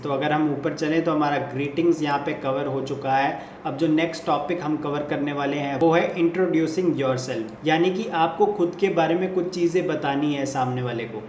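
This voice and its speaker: A man teaching basic English greetings, explaining step by step and saying each English model phrase slowly and clearly. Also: on the high side, a little mellow. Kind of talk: step-by-step spoken lesson. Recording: echoey room with faint ambient noise.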